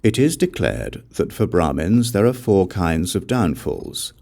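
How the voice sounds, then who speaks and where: A man's voice: sits low, big pitch swings, unhurried, vocal fry, a little mellow, full character voice. A man voicing a defiant outcast king who argues emphatically and rhetorically in a scripture audiobook. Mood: indignant reasoning. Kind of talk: dramatic audiobook reading of scripture.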